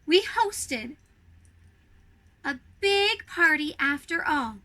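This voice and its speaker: A woman reading a storybook to children as a snow queen, expressive and speaking directly to her young listeners. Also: high voice, very slow.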